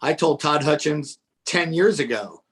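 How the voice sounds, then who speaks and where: A man's voice: on the high side, lively intonation, a little slow, a bit bright. A man co-commentating on an arm-wrestling match, giving his opinions in a casual, conversational way. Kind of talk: live sports commentary.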